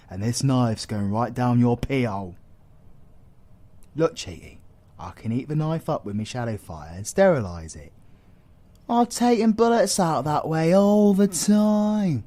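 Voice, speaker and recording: A man's voice: mid-range voice, very animated, slow, mellow. A British man playing a cocky, foul-mouthed supervillain in an audio roleplay, crude, teasing and sarcastic. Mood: gruff, bossy. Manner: crude, teasing, sarcastic, heavy slangy swearing. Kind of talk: audio roleplay acting addressed to the listener.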